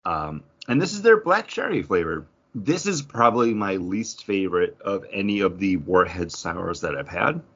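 Casual male podcast host, low husky voice, very animated intonation, slightly dull recording. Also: moderate pace.

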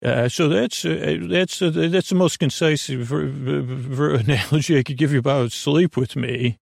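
A man hosting a bedtime podcast, rambling in a halting, self-deprecating way, often stammering and repeating himself as he wanders off on tangents. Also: fairly high pitch, vocal fry, no room sound, clean studio sound. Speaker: podcast host.

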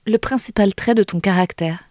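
A woman hosts an interview in a relaxed, chatty way. She often drops to quiet, soft asides. Her voice sits at a middle pitch, and the sound is close and dry. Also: normal speed, dry recording. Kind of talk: conversational interview.

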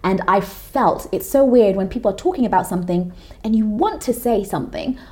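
A woman in her late twenties co-hosting a podcast in casual chat, animated and expressive, often laughing as she talks. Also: medium pitch, fairly quick. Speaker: podcast co-host.

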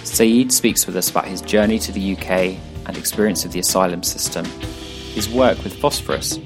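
A man's voice: low voice, fairly quick, over music. A man hosting a podcast, reading an introduction and then asking a guest questions. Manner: scripted, even-paced introduction. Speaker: podcast host.

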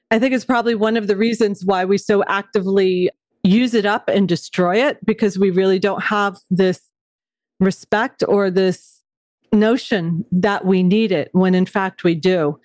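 A woman hosting a podcast, reading a scripted introduction in an earnest, measured way before interviewing a guest. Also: mid-range voice, a little mellow, no echo. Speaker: podcast host.

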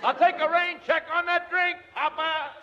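A wisecracking pal in a vintage radio comedy. His voice is bright and wry, on a muffled broadcast with audience sound behind it. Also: extremely high pitch, fairly even intonation, moderate pace, a faint crowd, a little hiss or hum. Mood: ribbing. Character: husband's wisecracking married male buddy. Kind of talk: 1950s radio comedy acting.

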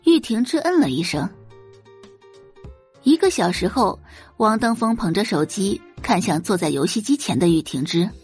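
Female audiobook narrator: lively, varied intonation, dramatic scripted storytelling, close dry sound over faint music. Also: mid-range voice, moderate pace, slightly rough.

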